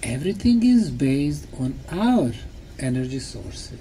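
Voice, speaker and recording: A man, a native Bosnian speaker, giving a talk to a group in short, simple phrases with pauses for an interpreter. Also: mid-range voice, big pitch swings, dark tone, a little hiss or hum. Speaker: speaker, tour guide.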